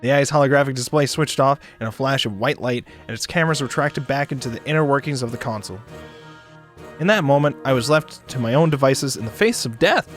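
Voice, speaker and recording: A man doing a dramatic narration of a visual novel for a stream, expressive, sometimes stumbling and joking. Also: mid-range voice, fast talker. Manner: expressive, stumbles and rereads lines. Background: music behind the voice.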